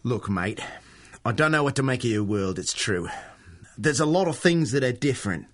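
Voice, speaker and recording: A blunt stranger. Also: male voice, medium pitch, expressive, moderate pace, a bit bright. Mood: tense. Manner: blunt, menacing. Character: blunt male Australian visitor from another world. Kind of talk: radio drama acting.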